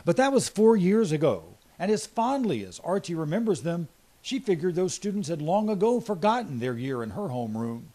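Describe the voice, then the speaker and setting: A man's voice: high voice, sing-song, a little fast, mellow. A man with an American accent, a TV news reporter reading scripted feature narration.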